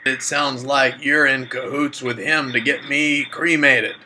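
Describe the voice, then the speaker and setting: A man's voice: mid-range voice, steady tone, measured pace, very noisy, close to an everyday voice. A man playing a suspicious seventy-six-year-old father who rambles indignantly in folksy phrases on a prank call. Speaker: prank caller.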